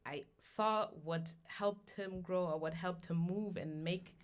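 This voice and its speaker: A low-pitched woman from Germany speaks as a guest on a conversational podcast. Her delivery is thoughtful and measured, and she often pauses with "um" while she gathers her ideas. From Germany (Hamburg). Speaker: guest, founder of a business platform.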